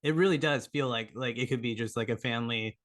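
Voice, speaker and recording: A man's voice: medium pitch, fast talker, fairly bright tone. A man hosting a podcast in casual chat, with an enthusiastic, complimentary way of talking. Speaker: podcast host.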